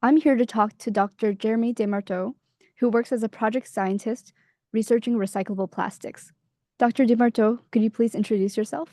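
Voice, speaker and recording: A woman's voice: fairly high pitch, steady tone, fairly quick, warm tone, dry recording, clean studio sound. A young adult woman hosting an interview, asking scripted questions.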